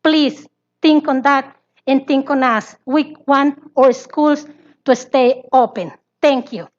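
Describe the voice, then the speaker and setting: A high-voiced woman with a Spanish accent speaks as a parent at a public hearing. She pleads earnestly in halting English. The audio is slightly dull. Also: a little hiss or hum. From Latin America. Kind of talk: public comment speech.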